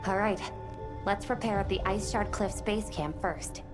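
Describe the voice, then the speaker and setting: Actress as confident female game hunter, medium pitch, calm, concise, very loud music behind. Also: a little slow, lightly acted. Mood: calm, decisive. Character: confident, steady female hunter leading a team. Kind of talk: video game character acting.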